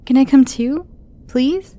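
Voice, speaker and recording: A woman's voice: high-pitched, takes it slowly, warm tone, voice pushed up. A woman voicing a timid, eager teenage girl. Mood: eager, worried. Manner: hesitant, stammering, breathy whispers.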